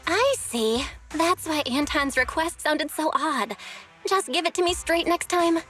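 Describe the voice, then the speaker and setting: A woman voicing a sharp, dependable young woman working as a temporary shop manager in a video game. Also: sits high, animated, a little slow, faint music behind. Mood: probing. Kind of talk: video game character acting.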